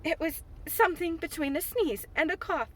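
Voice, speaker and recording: A woman reading a storybook aloud, voicing a prim, reproachful young girl with a raised, higher voice. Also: lively intonation, moderate pace, a bit bright, rough recording. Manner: prim, dignified.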